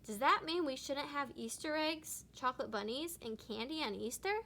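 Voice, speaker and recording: A woman's voice: high voice, lively intonation, moderate pace, pushed and bright, heavily acted. A woman voicing a curious little girl bear cub from a storybook, eager and earnest. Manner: earnest questioning.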